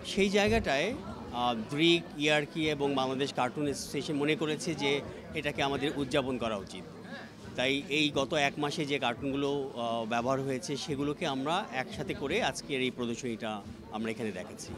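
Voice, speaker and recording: A man's voice: mid-range voice, brisk. A man in his fifties with a Bangladeshi accent, explaining things in an interview. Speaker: interviewee, exhibition organizer. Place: busy indoor gallery. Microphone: handheld reporter microphone. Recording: busy crowd noise around voice.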